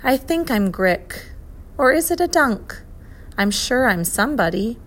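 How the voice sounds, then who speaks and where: A woman's voice: a little high, big pitch swings, measured pace, a bit bright, voice pushed up, heavily acted. A woman voicing a vague, forgetful pear-shaped male creature in a storybook reading, with an absent-minded, mournful sing-song.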